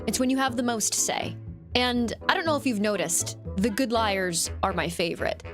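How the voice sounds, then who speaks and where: A woman's voice: fairly high pitch, moderate pace, music in the background. Woman narrating political commentary. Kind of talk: scripted voice-over commentary.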